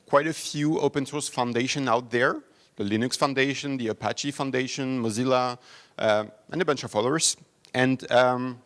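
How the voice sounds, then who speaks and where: A man from France with a French accent, opening a technology workshop in a conference room and giving an overview talk with frequent hesitations and restarts. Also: mid-range voice. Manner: halting, many fillers and restarts. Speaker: conference host and foundation speaker.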